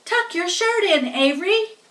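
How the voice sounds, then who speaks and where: A reader plays an anxious farm woman from a storybook, fussy and exclaiming. Her voice sits very high, in a roomy recording heard a little way from the mic. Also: lively intonation, a little slow, fairly bright tone, heavily acted. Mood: scolding. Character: anxious, fussy farm wife scolding at the fair. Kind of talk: storybook character voice. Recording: roomy, distant-sounding home recording.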